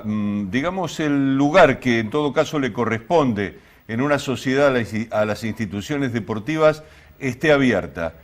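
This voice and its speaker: A man with an Argentine accent hosting an interview show in formal, winding, solemn phrases. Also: medium pitch. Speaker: host.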